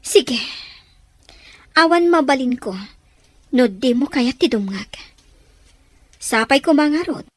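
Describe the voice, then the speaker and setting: A woman's voice: sits high, expressive, takes it slowly, long pauses, no echo, exaggerated voice. A woman playing an earnest, defiant young daughter who pleads, in an amateur drama. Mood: pleading, reproachful. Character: earnest defiant daughter pleading against her father's raids. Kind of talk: amateur drama dialogue in Ilocano. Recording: outdoor recording with faint noise, birdsong.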